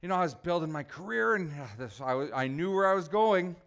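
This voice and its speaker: High-voiced man preaching with teasing jokes. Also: animated, a little fast, gravelly, fairly bright tone. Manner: jokey, teasing the congregation by name. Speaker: pastor. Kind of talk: casual conversational sermon.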